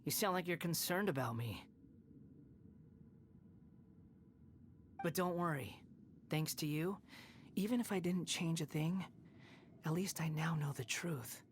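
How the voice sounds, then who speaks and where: A man's voice: fairly high pitch, normal speed, a little hiss or hum, close to an everyday voice. An actor playing a proud, bitter young man with godlike power and a haughty, contemptuous manner, in an anime-style video game. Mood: cool, smug. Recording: music behind the voice. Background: loud game music.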